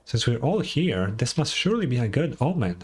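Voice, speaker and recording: A man's voice: mid-range voice, big pitch swings, a little fast, a little mellow, husky. A man voicing a boastful, self-assured schemer in a fantasy video game, talking in a grandiose, breezy way. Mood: breezy, self-important. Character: boastful, overconfident schemer, self-styled moon-goddess devotee and inventor.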